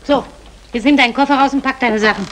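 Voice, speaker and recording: A woman's voice: high voice, fast, a bit bright. An actress playing a meddling, matter-of-fact middle-aged mother in a vintage German crime radio drama. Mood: brisk, knowing. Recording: vintage radio drama, clicks, faint noise.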